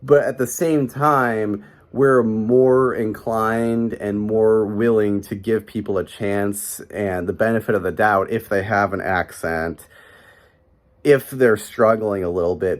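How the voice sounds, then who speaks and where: Young man, Midwestern American accent, lowish voice, rambling casual vlog, filler-heavy, close camera in small bedroom. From Chicago, USA. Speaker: vlogger. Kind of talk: casual talking-head monologue. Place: small bedroom in an apartment, indoors.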